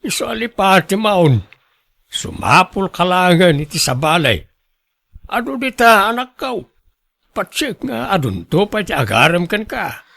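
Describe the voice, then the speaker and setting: A man acting as a plain-spoken Ilocano father in a radio drama. His voice sits fairly high for a man, with a gravelly creak and lively swings in tone. The Filipino Ilocano accent is clear. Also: measured pace, full character voice. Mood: resigned, advising.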